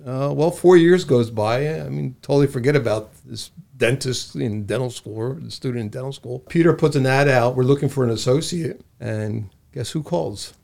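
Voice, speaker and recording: A man's voice: medium pitch, moderate pace, vocal fry, hardly any pauses, a little mellow. A man in his sixties, a dentist, telling a story in a casual chat and drawing out the suspense.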